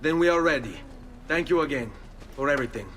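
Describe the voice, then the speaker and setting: A man's voice: on the high side, lively intonation, fast, fairly bright tone, slight background noise. An actor playing a young Italian nobleman hero, speaking earnestly and with resolve in an Italian accent. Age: young adult.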